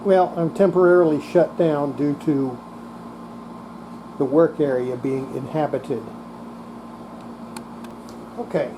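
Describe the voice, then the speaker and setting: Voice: mid-range voice, steady tone, slow pace, long pauses, noisy background. A person narrating hands-on restoration work in a casual way, talking through each step while working. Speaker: host demonstrating radio restoration.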